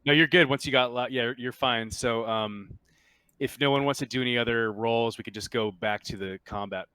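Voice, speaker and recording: A man's voice: medium pitch, fairly even intonation, moderate pace, sharp tone, smooth, no echo. A man narrating a tabletop roleplaying game as game master, in a casual, improvised way.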